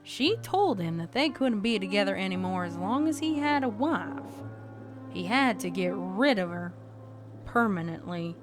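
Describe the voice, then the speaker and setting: A woman's voice: medium pitch, expressive, natural voice. Woman playing folksy cowgirl pony spinning ghost tales over music. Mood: steady, suspenseful. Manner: folksy, drawn-out dramatic storytelling. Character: female cowgirl pony telling a spooky tale, deadpan. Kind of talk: campfire ghost story in a fan fiction audio reading. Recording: background music under the voice.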